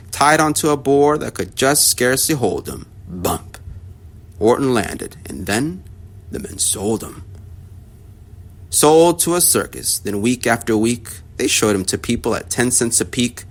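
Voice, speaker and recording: A man reading a rhyming children's story aloud with expressive, rhythmic storytelling. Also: medium pitch, measured pace.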